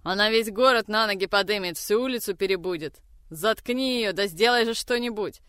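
A woman's voice: medium pitch, measured pace, fairly bright tone, clear, resonant tone, dry recording, natural voice. A woman voicing a cruel older woman who tortures a captive, with vicious threats and shrieking anger. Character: cruel brutal older woman gang mother. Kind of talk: audiobook reading.

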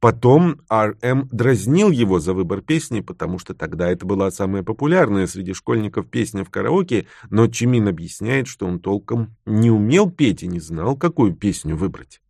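Low-voiced male narrator, dry studio sound. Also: very animated, normal speed, gravelly, few pauses, a little mellow, no room sound. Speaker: audiobook narrator. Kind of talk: scripted biographical narration.

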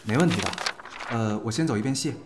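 A man's voice: mid-range voice, fast talker, echoey room, noisy background, lightly acted. An actor playing a polite, bashful young male actor in a Chinese audio drama. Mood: calm, attentive.

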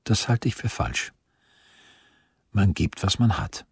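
An actor playing an earnest, self-assured eighteenth-century physician in a radio drama, speaking in a measured, formal way. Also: male voice, lowish voice, very animated, vocal fry, husky, no room sound, clean studio sound, full character voice. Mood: insistent. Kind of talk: German radio drama acting.

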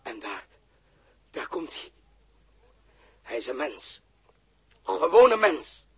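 A man's voice: on the high side, big pitch swings, slow, a bit bright, in a large hall, heavily acted. An actor playing a feverish, guilt-haunted man in a theatrical Dutch 1960s radio drama. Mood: fearful whispering dread. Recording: muffled, rough 1960s radio recording.

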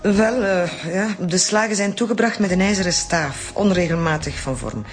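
An actress playing a blunt, wry forensic doctor in a radio drama, with a Flemish accent. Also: female voice, lowish voice, moderate pace, faint music behind, lightly acted. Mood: clinical. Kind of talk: naturalistic radio drama acting.

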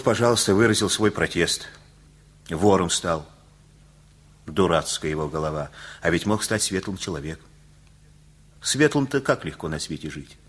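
A kindly older neighbour in a radio drama. His voice is very low and husky. He moralizes in homespun, colloquial phrases, like a favourite uncle. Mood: bitter regret. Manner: avuncular, unhurried, colloquial preaching. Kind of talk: radio play acting.

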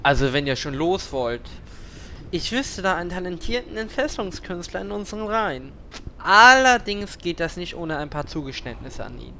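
An actor playing a lecherous, boastful little gnome escape artist in a comedic fantasy radio play, pompous and scheming. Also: male voice, high voice, sing-song, normal speed, pushed and bright, a little hiss or hum, full character voice. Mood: smug.

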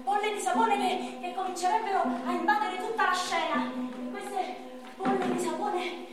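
Really high dreamy woman, acted, theatre monologue, lingers n repeats stuff, loud music behind, tons of echo. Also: fairly even intonation, normal speed, recorded from a distance. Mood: rapt, wistful. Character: dreamy theatre-maker imagining a stage scene. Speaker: stage actress, narrator.

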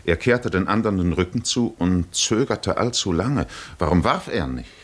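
A man narrating a literary 1950s radio drama in measured, deliberate phrases with pauses. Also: low voice, animated.

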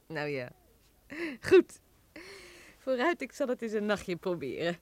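An actress playing a sceptical but good-humoured, chatty housekeeper who exclaims and mutters to herself in a children's audio drama. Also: female voice, medium pitch, sing-song, takes it slowly, darkish tone, dry recording. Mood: sceptical, grudging.

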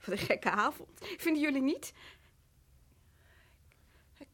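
A haunted, delusional wife in a radio play. Her voice is high and fragile. She stammers anxiously and slips into breathless whispers. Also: lively intonation, measured pace, fairly bright tone. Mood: brittle, distracted.

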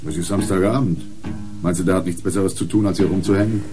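A man's voice: deep voice, steady tone, fast, over music, natural voice. An actor playing an unhelpful, offhand policeman in a radio crime drama, with a dry way of talking.

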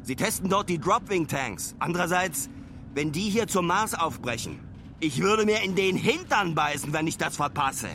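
Restless male traveller, radio-play diary, higher voice, informal musing, noisy outdoor ambience. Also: expressive, moderate pace, creaky, sharp tone, full character voice. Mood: restless, excited. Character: restless young male traveller keeping a space-watching audio diary.

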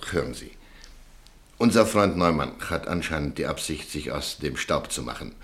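An actor playing a calm, soft-spoken male extortioner who coaxes and pressures in a measured way, in a radio play. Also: very deep voice, exaggerated voice. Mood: coaxing. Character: smooth, calm male extortioner named Walter. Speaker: radio drama character.

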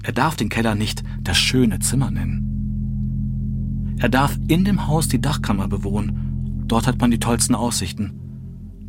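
A man giving a measured, expressive reading of literary texts. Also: fairly low pitch. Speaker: reader. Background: music.

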